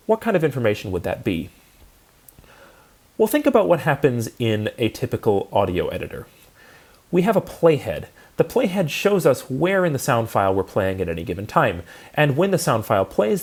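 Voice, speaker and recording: A man giving a prepared technical lecture, explaining methodically in clearly structured phrases. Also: mid-range voice, darkish tone. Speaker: lecturer in audio programming. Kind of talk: prepared instructional lecture narration.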